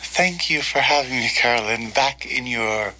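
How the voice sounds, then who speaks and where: Guy from egypt being interviewed, bright clear-cut tone, earnest, picks his words carefully. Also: mid-range voice, low-quality recording. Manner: earnest, deliberate. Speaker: interview guest, writer. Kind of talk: reflective conversational interview. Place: small in-person studio.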